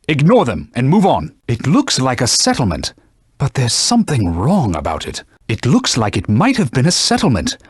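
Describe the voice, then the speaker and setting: A man's voice: mid-range voice, breathy edge, dry recording, studio quality. A man voicing a stern, haughty talking sword in a video game, a cautious battle mentor giving formal, scolding commands. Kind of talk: theatrical video game voice acting.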